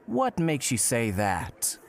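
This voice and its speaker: An actor playing a courteous, curious, old-fashioned gentleman traveler in a period audio drama, with polite and playfully florid speech. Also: medium pitch, wildly swooping pitch, takes it slowly, gravelly, exaggerated voice. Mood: courteous, sheepish.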